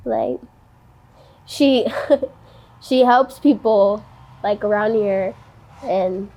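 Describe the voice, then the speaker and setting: A woman of nineteen in a candid interview, speaking softly and haltingly with many ums and likes. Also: medium pitch. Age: young adult. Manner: hesitant, many ums and likes, soft-spoken. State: tearful, voice breaking.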